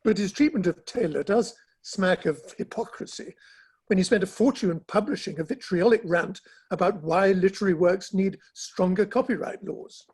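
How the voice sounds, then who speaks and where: A gossipy, bemused Victorian literary gentleman performed by a man with a notably high, rough-edged voice. He speaks in clipped, droll phrases, as in a staged reading of a period play. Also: moderate pace. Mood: droll disapproval. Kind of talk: dramatic play reading over the internet.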